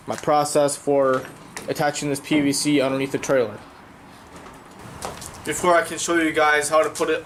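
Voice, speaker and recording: A man's voice: fairly high pitch, fairly bright tone, a little hiss or hum. A young man with a cold, sounding congested, casually explaining his boat project in a rambling vlog full of fillers. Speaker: vlogger.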